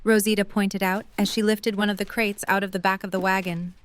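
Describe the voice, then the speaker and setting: A woman's voice: medium pitch, flat delivery, fairly quick, slight background noise. A woman reading scripted third-person story narration for an audio drama.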